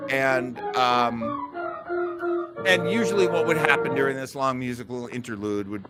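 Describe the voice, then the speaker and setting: A man's voice: mid-range voice, normal speed, loud music behind the voice. A man hosting a show with casual, joking commentary. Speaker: show host.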